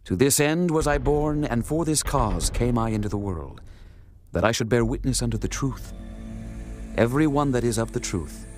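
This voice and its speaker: An actor playing a calm, authoritative holy teacher, speaking solemnly and formally in a dramatized scripture production. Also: male voice, mid-range voice, moderate pace, faint music behind, lightly acted.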